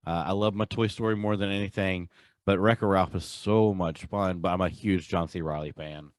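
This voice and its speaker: Low-voiced man chatting on a podcast. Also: fairly even intonation, moderate pace, dry recording, studio quality. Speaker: podcast host. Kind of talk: casual pop-culture podcast chat.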